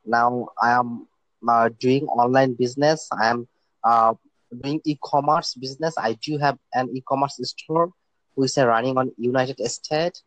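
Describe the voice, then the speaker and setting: A man's voice: mid-range voice, steady tone, measured pace, a bit bright, no room sound, poor audio. A young man from Bangladesh, a guest in his twenties speaking casually, hesitant, with many fillers and restarts. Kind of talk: casual interview talk.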